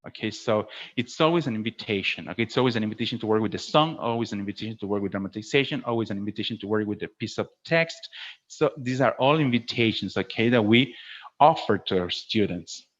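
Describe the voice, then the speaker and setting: A man's voice: lowish voice, quick pace. A man working as a teacher trainer answers questions in an online webinar, explaining and often checking with "okay?". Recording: dull, lo-fi online call audio.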